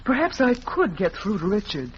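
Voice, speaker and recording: A woman's voice: mid-range voice, sing-song, normal speed, close to an everyday voice. An actress playing an agitated, insistent widowed lady, emphatic and melodramatic in 1940s radio style. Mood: eager, agitated. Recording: muffled, noisy old radio recording.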